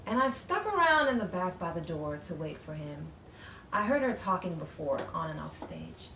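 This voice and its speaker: A woman's voice: fairly low pitch, very animated, normal speed, bright, forceful tone, full character voice. A woman playing a frightened, distrustful crime witness in an improvised noir radio play. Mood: uneasy recounting. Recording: echoey room, distant mic, faint noise.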